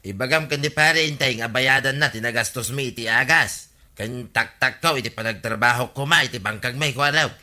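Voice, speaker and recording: A man's voice: mid-range voice, fairly quick, bright, forceful tone. A man playing an aggrieved village farmer in a Filipino radio comedy drama, exclamatory and complaining, with an Ilocano accent.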